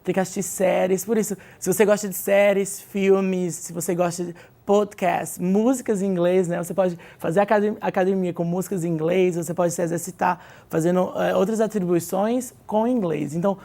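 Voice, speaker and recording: A woman's voice: lowish voice, steady tone, normal speed, vocal fry, warm tone. A Brazilian woman, an English teacher, giving advice as a guest in a TV studio interview and often tagging her points with "né?". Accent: Brazilian Portuguese. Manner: explanatory, lots of "né?" tag questions.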